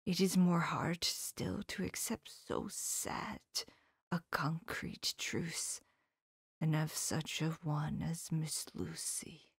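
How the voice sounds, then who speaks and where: Woman reader voicing a solemn, sorrowful old male professor for an audiobook, breathy half-whisper, slow and low, dry close sound. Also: animated, no echo, heavily acted. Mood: grave. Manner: old-fashioned formal phrasing. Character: elderly male professor, grave, tender and sorrowful. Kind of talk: audiobook character voice.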